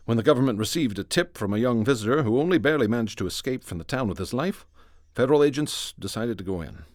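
An actor playing a scholarly, discreet professor giving a measured, slightly hesitant radio interview in a drama. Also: male voice, medium pitch, expressive, darkish tone, dry recording, studio quality, close to an everyday voice. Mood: guarded, grave. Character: reserved, scholarly male archaeology professor.

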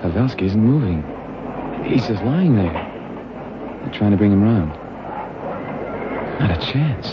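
An actor playing an excitable, chatty sidekick in a radio drama, giving slangy running commentary. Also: male voice, fairly low pitch, slow pace, pauses often. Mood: puzzled. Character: excitable, chatty male sidekick watching a boxing match. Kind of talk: 1960s-70s radio drama acting. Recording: dull, noisy vintage radio, loud crowd.

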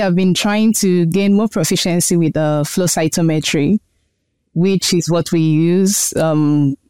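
A woman in her thirties answers interview questions about her work. Her voice is smooth and resonant, with a dry, close sound. She talks in an earnest, explanatory way, thinking things through as she goes. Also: fairly low pitch, dark tone, dry recording. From Nigeria. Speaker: guest researcher.

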